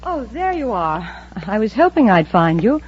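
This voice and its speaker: A woman's voice: mid-range voice, very animated, moderate pace, slight background noise, natural voice. An actress playing an imperious, scheming female crime boss in a 1950s radio serial. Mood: smoothly deceptive. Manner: haughty, commanding, smooth when lying. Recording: muffled old radio recording.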